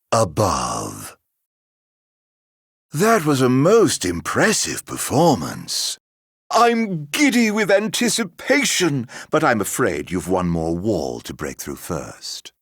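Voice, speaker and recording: A man playing a mocking, immortal, vengeful video game villain with a gravelly, husky voice and a drawling, grandiloquent, sardonic delivery. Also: mid-range voice, dry recording, studio quality, full character voice. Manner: drawling, grandiloquent, sardonic, swinging from murmurs to shouts. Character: theatrical, mocking, immortal male villain bent on revenge.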